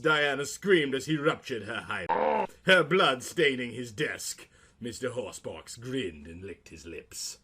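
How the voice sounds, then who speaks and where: A man reads a comic story as a dramatic narrator. His pitch moves in lively swoops and his tone is bright and forceful, delivered with theatrical, mock-romantic flourish. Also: husky.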